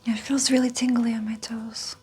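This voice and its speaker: A woman whispering as a patient in an ASMR exam roleplay, answering quietly with soft murmurs. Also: a little high, flat delivery, a little fast, breathy edge.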